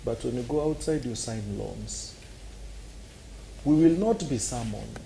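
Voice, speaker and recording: A man's voice: mid-range voice, measured pace, gravelly, mellow, slight background noise. A man with a Kenyan accent, arguing animatedly on a talk show and acting out scenes with sound effects. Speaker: political commentator.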